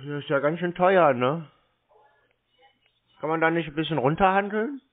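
A man's voice: fairly high pitch, normal speed. A man chatting casually on the phone, asking needling questions in a mock-naive manner. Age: adult. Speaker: prank caller to scam call centres. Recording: band-limited phone call audio.

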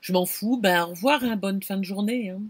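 Husky woman telling a story to camera. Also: mid-range voice, fairly quick, no echo. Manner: animated, expressive, addresses the viewer directly. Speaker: vlogger. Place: inside a camper van. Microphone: camera close in front.

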